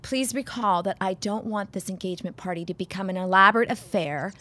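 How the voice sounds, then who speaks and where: A woman's voice: mid-range voice, brisk, pushed and bright, lightly acted. A woman playing a poised, guarded bride-to-be in a period audio drama, speaking formally with dry wit.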